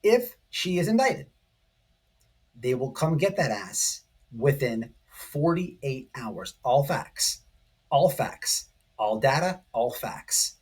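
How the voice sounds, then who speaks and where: Male online commentator, mid-pitched and a touch husky, excitable, emphatic, repetitive and casual. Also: takes it slowly. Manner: excitable, emphatic, repetitive, addressing viewers directly. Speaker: online commentary channel host. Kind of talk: casual, informal video commentary.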